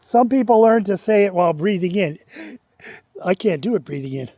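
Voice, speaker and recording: Guy rambling on, kinda high voice, dry close sound. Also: lively intonation, moderate pace, warm tone, no echo. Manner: rambling, conversational, stops to read aloud. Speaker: channel host. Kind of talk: casual talk to camera, reading aloud.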